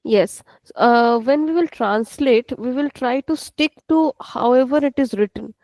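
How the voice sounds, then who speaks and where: A woman's voice: high-pitched, measured pace, darkish tone, dry recording. A woman with an Indian English accent teaching an online language class, explaining patiently and repeating each point step by step.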